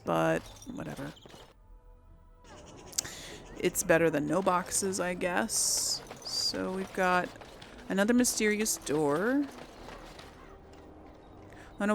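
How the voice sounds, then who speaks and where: A woman's voice: lowish voice, vocal fry, a little hiss or hum. A woman giving casual let's play commentary, musing aloud and rambling to her viewers. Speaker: gaming video host.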